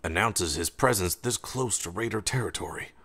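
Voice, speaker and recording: A man's voice: low-pitched, moderate pace, fairly bright tone, husky. A man voicing a scornful male pony slave in an audiobook, muttering and grumbling over music. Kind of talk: audiobook character voice.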